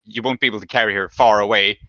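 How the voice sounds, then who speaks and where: A man acting as game master, explaining rulings and narrating a tabletop game in a measured, deliberating way. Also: on the low side, fairly even intonation, pushed and bright, dry recording, dull audio.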